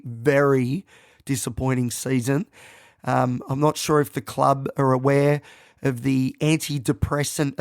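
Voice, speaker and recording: An Australian man hosting his own podcast, talking casually and rambling with lots of ums, croaky and nasal from a head cold, self-deprecating about his voice. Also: medium pitch, no echo, studio quality. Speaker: podcast host. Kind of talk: casual solo podcast monologue.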